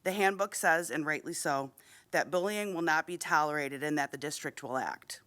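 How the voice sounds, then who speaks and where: A woman's voice: low voice, moderate pace, a bit bright. A woman, a parent, speaking at a school board meeting into a podium microphone, reading a prepared statement in a formal, earnest way while slightly unwell. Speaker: parent giving public comment.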